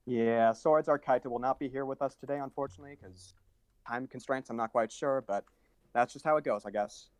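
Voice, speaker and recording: A man's voice: mid-range voice, a little fast, no room sound. A man moderating a panel in a casual, chatty style, handing over from speaker to speaker. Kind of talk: casual chat.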